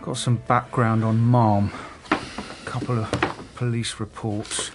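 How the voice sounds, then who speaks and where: An actor playing a matter-of-fact, slightly hesitant police detective in a naturalistic radio drama. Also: male voice, mid-range voice, flat delivery, slow pace, music in the background, lightly acted.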